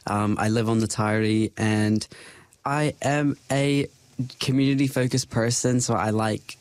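A young man with a New Zealand accent, a podcast guest talking in a relaxed, thoughtful way with frequent fillers. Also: mid-range voice, a little slow, smooth, no echo. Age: young adult. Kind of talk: casual interview chat.

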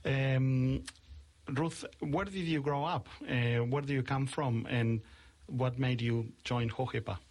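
A man interviewing a guest, speaking haltingly with many uhs. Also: medium pitch, a little mellow. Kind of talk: podcast interview.

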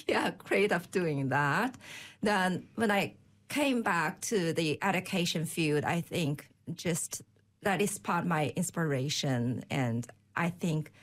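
A woman in her thirties or forties from Inner Mongolia, whose first language is Chinese, speaking with many hesitant fillers and restarts. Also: on the low side. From Inner Mongolia, China. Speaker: guest at an open day. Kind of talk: casual conversation.

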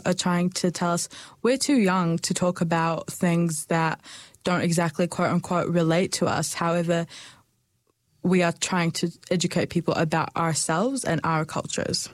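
A young woman, speaking earnestly and thoughtfully about her heritage, with small pauses and restarts. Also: lowish voice, level tone, no echo. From New Zealand. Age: young adult. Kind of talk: personal reflection.

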